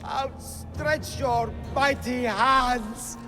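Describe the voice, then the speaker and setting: A man's voice: extremely high pitch, drawn-out words, big-room sound, full character voice. An actor playing an eccentric, grandiose man who proclaims himself a god, in a game cutscene. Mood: ecstatic. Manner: grandiose, theatrical, manic. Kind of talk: video game cutscene acting. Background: orchestral game music.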